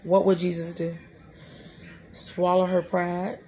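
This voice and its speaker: Animated woman preaching to herself. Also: lowish voice, slow pace, a little hiss or hum. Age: 30s. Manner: animated, preachy, talks to herself, laughing asides. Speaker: podcast host. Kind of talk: casual faith talk. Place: small room, indoors before a dark curtain.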